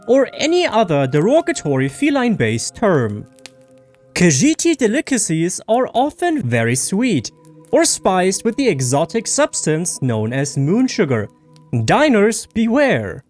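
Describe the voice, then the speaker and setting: A haughty elven propaganda official, put on by a narrator, pitched high and swooping widely. The delivery is pompous, prim and officious, like a storybook zealot, with music underneath. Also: darkish tone.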